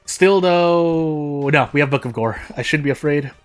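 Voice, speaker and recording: American man thinking aloud over gameplay. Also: moderate pace. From United States. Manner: thinks aloud, with frequent restarts and sudden pitch jumps. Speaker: streamer. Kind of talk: casual gameplay commentary. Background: faint game music.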